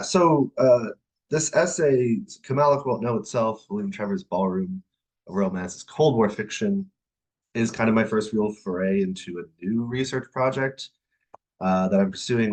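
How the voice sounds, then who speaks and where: Low husky guy presenting his research, kinda halting and hesitant, academic talk. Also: rough recording. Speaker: academic presenter, literature professor. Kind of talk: academic talk, semi-scripted.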